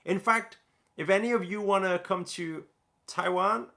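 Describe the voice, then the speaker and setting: A man from Newcastle hosts a casual livestream, reading out viewer comments and answering them. His voice is gravelly with a bright, forceful edge. He talks loosely, with lots of fillers and restarts. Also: high voice, measured pace. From Newcastle, England. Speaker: livestream host.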